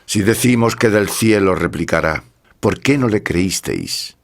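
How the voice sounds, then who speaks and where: A man giving a scripted narration of scripture in a solemn, measured way. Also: lowish voice, lively intonation.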